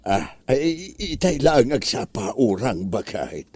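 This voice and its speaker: A man's voice: medium pitch, sing-song, slow, a little mellow, slightly rough, no room sound, exaggerated voice. A man with an Ilocano accent playing a lazy, scheming older uncle who whines about being sick in a comedy drama. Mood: feigned suffering. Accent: Ilocano, northern Philippines.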